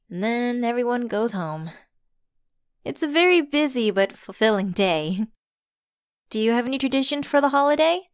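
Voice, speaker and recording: A woman's voice: on the high side, dry recording, studio quality. A woman playing a flustered, friendly stranded driver in an ASMR audio roleplay, chatty and a little hesitant. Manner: hesitant, chatty, expressive with sighs and giggles. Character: flustered, friendly woman locked out of her car at Christmas. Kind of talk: ASMR roleplay acting, one-sided conversation.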